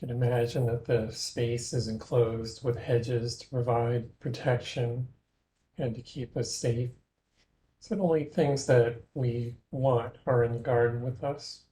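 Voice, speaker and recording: Man, meditation guide, dark relaxed tone, medium pitch, gentle measured delivery, long pauses, guided imagery.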